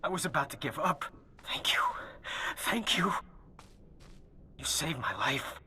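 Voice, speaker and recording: A broken, exhausted soldier in a video game. The voice is breathy and weak yet tense, swinging widely in pitch. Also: expressive, unhurried, bright, forceful tone, full character voice. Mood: grateful relief. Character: exhausted, broken rescued soldier, overcome with relief.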